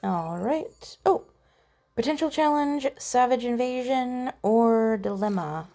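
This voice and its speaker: A woman's voice: a little high, animated, slow pace, mellow. A woman giving casual game commentary, chatty and playful, reading on-screen text aloud. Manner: chatty, mild playful exclamations. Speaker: gamer voicing a playthrough.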